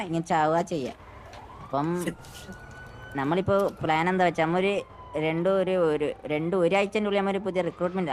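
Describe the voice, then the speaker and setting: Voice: medium pitch, slight background noise. A gamer negotiating in improvised roleplay, repeating and restarting points. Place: online game voice chat.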